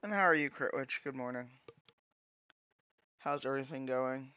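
A man streaming a video game, chatting casually and slowly, sometimes mildly frustrated with the game. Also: medium pitch, pushed and bright, poor audio. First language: English. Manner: slow, musing, mildly frustrated. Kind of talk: casual gameplay commentary.

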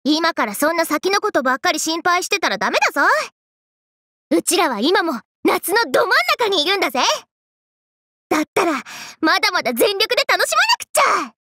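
A woman's voice: very high voice, very animated, a little fast, bright, forceful tone, no room sound, studio quality, full character voice. A woman voicing a brash, playful, hyper young woman from an anime game, with cocky, slangy, teasing delivery. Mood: upbeat, encouraging. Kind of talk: Japanese anime game character voice lines.

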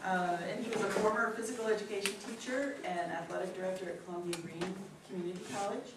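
A woman's voice: mid-range voice, steady tone, fast talker. Echoey, distant older woman making announcements. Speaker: event host introducing a speaker. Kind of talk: informal announcements to a live audience. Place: indoor meeting room. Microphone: distant camera microphone. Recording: echoey, noisy room recorded from afar.

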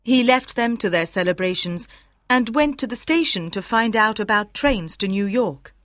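Female storybook narrator, animated pitch, crisp enunciation, dry close studio sound. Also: moderate pace, dry recording. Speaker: audiobook narrator.

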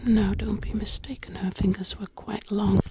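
A woman voicing a sly, menacing witch in a close-miked ASMR roleplay, in a breathy whisper, lingering on and repeating words. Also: mid-range voice, flat delivery, normal speed, background noise, natural voice. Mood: eerie, storytelling. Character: sly, menacing witch who hunts victims for their bodies. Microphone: close microphone.

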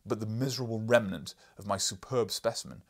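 A man's voice: fairly low pitch, brisk. A man voicing an imperious, irascible older professor and explorer in an audiobook, lecturing pompously in a declamatory way. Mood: proud, declamatory. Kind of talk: audiobook character reading.

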